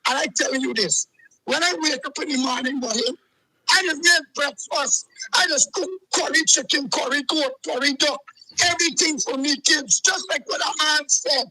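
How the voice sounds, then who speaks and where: A man's voice: extremely high pitch, normal speed, sharp tone, no room sound, low-quality recording. A man, calling in to a show and ranting heatedly, repeating himself. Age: 40s. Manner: agitated, repetitive, keeps saying "let me tell you something". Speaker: caller. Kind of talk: heated call-in rant.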